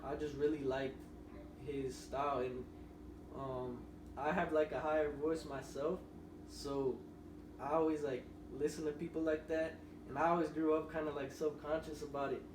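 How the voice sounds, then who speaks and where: A man's voice: on the high side, little pitch movement, normal speed. A young man, a musician guest on a podcast, telling loose, rambling stories in casual conversation. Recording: roomy, distant mic with noise.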